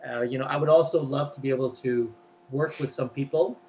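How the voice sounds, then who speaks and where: A man's voice: mid-range voice, fairly even intonation, a little fast, darkish tone, slight background noise, poor audio. A man whose first language is Cantonese, chatting as an interview guest and explaining at length with many fillers. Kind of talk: casual interview talk.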